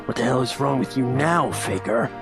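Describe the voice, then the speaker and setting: A man's voice: mid-range voice, animated, normal speed, creaky, music in the background, pitched above the performer's own voice. A man voicing a scornful hedgehog antihero with a curt, taunting manner in a fan fiction reading.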